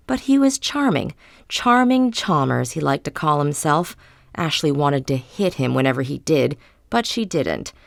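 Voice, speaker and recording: Lady reading a novel, deep-ish voice, measured dramatic storyteller, dry studio sound. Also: vocal fry, no room sound. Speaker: audiobook narrator. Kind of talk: scripted literary fiction narration.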